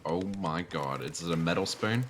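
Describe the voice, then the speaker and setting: A man's voice: low voice, normal speed, fairly bright tone. A man chatting casually as he streams a multiplayer sailing game. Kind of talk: casual gaming chat. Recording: noisy, watery game sounds behind voice. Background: game ambience of boat and water.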